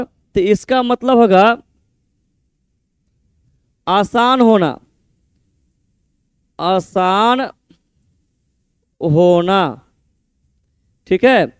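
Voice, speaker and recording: Slow, drilling teacher, high voice. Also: male voice, smooth, no room sound. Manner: repeats each idiom and its meaning slowly, drilling; mixes Hindi explanation with English example sentences. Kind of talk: exam-prep idiom lesson, writing on a board.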